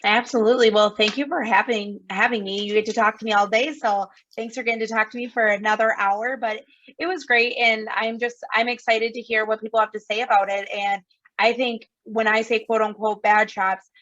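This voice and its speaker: A woman's voice: a little high, flat delivery, brisk, a bit bright. A woman with an Upper Midwest American accent, a guest on a podcast, talking in an earnest, emphatic way and repeating words for stress. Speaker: guest, sales director. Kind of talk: casual podcast chat. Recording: slightly dull lo-fi sound.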